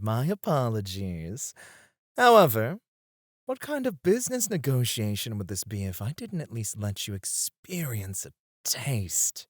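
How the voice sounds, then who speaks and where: A man acting a flirtatious, self-assured male stripper in an ASMR roleplay, suave and teasing, with breathy asides, close on the microphone. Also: lowish voice, no room sound. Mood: flirty, confident. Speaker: ASMR voice actor.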